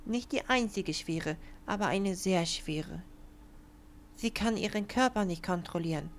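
A woman voicing a gentle toy lion who consoles a child in a reassuring way, in an animated short film. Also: medium pitch, measured pace, a little hiss or hum, close to an everyday voice. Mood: gentle, consoling.

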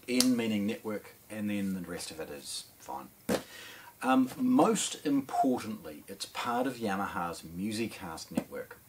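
A middle-aged man with a New Zealand accent casually presenting an unboxing, in a hesitant, improvised way with frequent pauses. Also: lowish voice. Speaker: product presenter at an audio shop. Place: small indoor showroom with shelves and speakers. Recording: clear background noise.

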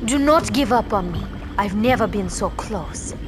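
A woman's voice: mid-range voice, expressive, music in the background, lightly acted. Woman acting mysterious solemn swamp mystic. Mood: grave, burdened. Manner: measured, grave, cryptic. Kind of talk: video game cartoon acting.

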